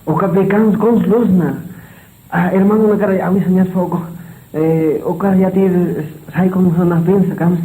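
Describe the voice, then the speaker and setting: An earnest village church brother in a radio serial, played by a man. The voice is high for a man and very dark in tone, with room echo and faint noise. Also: steady tone, normal speed, natural voice.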